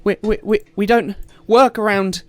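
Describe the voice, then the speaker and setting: A man voicing a frantic female peat farmer who stammers and pleads in a high, strained voice. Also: fairly even intonation, normal speed, dry recording, lightly acted.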